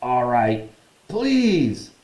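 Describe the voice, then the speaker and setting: An impatient, irritable male caretaker, acted out by a man reading a storybook, demanding answers. The voice is pushed up in pitch and swings around, with a roomy echo. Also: very animated, very slow, a little mellow. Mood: exasperated. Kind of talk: children's storybook read-aloud.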